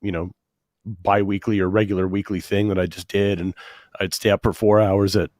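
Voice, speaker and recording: Male comedian guest, lowish voice wearing out from illness, deadpan delivery, close dry sound. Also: normal speed, no room sound. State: losing his voice after bronchitis. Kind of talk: casual interview chat.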